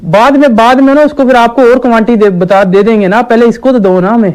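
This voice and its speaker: A man playing a slow-witted, stubborn village shopkeeper on a deadpan prank call, hesitant and naively persistent. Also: very high-pitched, smooth, rough recording. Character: dim, stubborn village shopkeeper ordering absurd medicine quantities. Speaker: prank caller.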